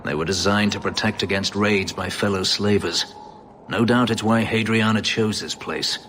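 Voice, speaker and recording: A man's voice: on the low side, moderate pace, a bit bright, slight background noise, close to an everyday voice. An actor playing a bitter, brooding male elf who escaped slavery, speaking grimly and formally in a video game. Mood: wary, resentful. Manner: grim, terse, formal phrasing.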